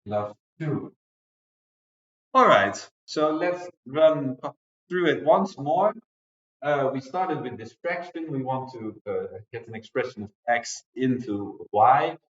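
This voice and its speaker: A man in his forties, an English speaker, giving a recorded math lecture at a whiteboard, explaining step by step with frequent fillers. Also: lowish voice. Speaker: university lecturer. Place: classroom with whiteboard, indoors. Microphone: far camera mic. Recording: dull, roomy classroom sound.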